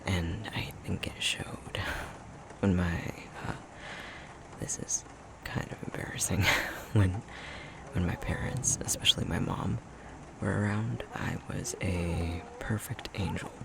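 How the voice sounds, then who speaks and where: A man playing an affectionate, self-deprecating young fox-shifter boyfriend in an intimate ASMR roleplay, speaking softly and hesitantly, often half-whispered. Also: deep voice, steady tone, takes it slowly, vocal fry, heavily acted. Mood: bashful, reminiscing.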